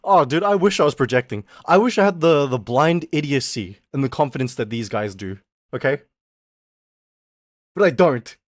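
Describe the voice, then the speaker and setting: A young man streaming as a VTuber, ranting in a casual, animated way. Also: medium pitch, no room sound. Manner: animated, exaggerated, quick mock voices and laughs.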